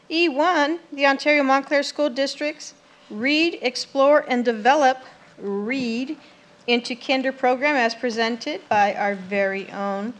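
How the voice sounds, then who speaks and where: Woman chair, high voice, brisk and friendly; runs the agenda. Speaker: board president running the meeting. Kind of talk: chairing a board meeting.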